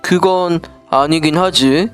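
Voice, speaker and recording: A woman's voice: low voice, slow pace, over music. A woman playing a naive, scheming would-be politician sidekick in a storybook political satire, casual, exclamatory and wheedling. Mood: self-justifying. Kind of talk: scripted political satire dialogue, storybook parody.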